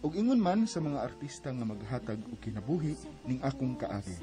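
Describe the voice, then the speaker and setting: A man's voice: mid-range voice, sing-song, fairly quick, over music, close to an everyday voice. An actor playing a shy, friendly young man in a radio drama, with a Filipino accent. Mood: earnest narrating. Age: young adult. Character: friendly, shy young man courting, telling his life story.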